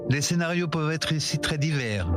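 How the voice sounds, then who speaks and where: Man narrating a news report over clear background music, steady read. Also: on the high side, brisk.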